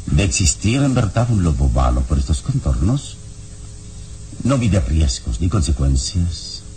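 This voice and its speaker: An actor playing a calm, mysterious hero in a vintage radio serial, phrasing his words slowly and solemnly. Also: sits low, slight background noise. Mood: grave, ominous. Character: mysterious heroic man of keen senses, calm and solemn.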